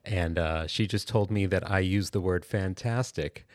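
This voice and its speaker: A man's voice: deep voice, unhurried, no echo. A man co-hosting a podcast, joking and rambling in casual chat. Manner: playful, rambling, jokey. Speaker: podcast co-host.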